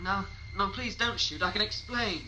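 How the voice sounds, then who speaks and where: A man's voice: high-pitched, normal speed, background noise, lightly acted. A man playing a cowardly servant of alien invaders in a science-fiction audio drama, stammering and pleading. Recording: music behind the dialogue.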